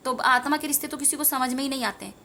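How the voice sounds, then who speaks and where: A woman's voice: sits high, very fast, creaky, bright, forceful tone, a little hiss or hum. A woman giving an informal spiritual talk to viewers, earnest and rambling, repeating phrases for emphasis. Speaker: tarot reader and meditation teacher.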